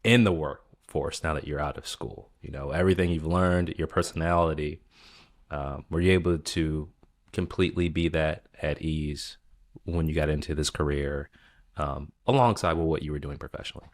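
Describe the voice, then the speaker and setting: A male podcast host with an extremely deep voice. He asks long, winding questions and corrects himself partway through. His tone stays relaxed and conversational. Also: normal speed. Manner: rambling, self-correcting questions. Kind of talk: casual podcast interview.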